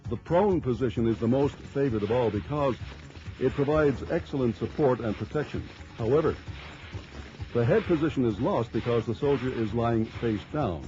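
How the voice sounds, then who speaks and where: A man narrates an instructional film in a relaxed, mellow voice of medium pitch. His delivery is formal and measured, explaining step by step. The soundtrack is dull and lo-fi like an early 1990s film, with music behind him.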